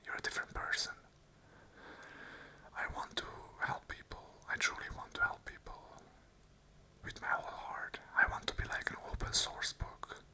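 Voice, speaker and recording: An ASMR host whispering an unscripted monologue of earnest, repeated affirmations in short phrases. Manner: whispers the whole way, soft and unvoiced.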